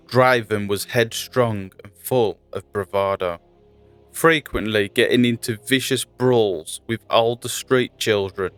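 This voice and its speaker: Male host and narrator for scripted online videos, medium-pitched voice, music audible behind him. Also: clean tone.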